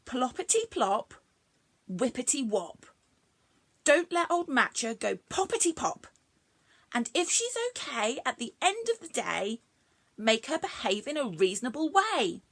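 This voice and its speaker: A woman's voice: a little high, lively intonation, unhurried, hardly any pauses, a bit bright, no echo. A woman voicing a fretful, fluffy little male magic creature in a storybook, speaking in an anxious, pleading way. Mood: chanting. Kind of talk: storybook read-aloud.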